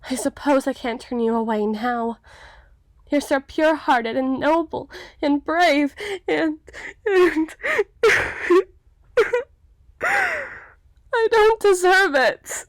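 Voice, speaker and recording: High-voiced, slow-spoken melancholy damsel played by a woman. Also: no echo, full character voice. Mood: flustered pleading, then tearful, unworthy. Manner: theatrical, hesitant, old-fashioned formal phrasing. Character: young woman, melancholy self-doubting storybook damsel trapped in a tower. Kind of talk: ASMR fantasy roleplay, scripted monologue.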